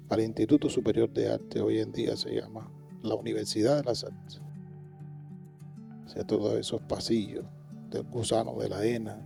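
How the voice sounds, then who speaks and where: A man's voice: on the low side, creaky. A man with a Cuban accent, a musician who grew up in Cuba, recalling his training steadily in a documentary interview.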